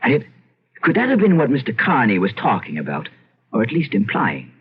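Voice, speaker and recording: An actor playing a pompous Irish gentleman landowner, emphatic and often interrupting himself, in an old-time radio drama. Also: medium pitch, wildly swooping pitch, fairly quick. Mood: uneasy doubt. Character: blustery Irish gentleman landowner, imperious, skeptical yet uneasy. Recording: muffled, hissy old radio recording.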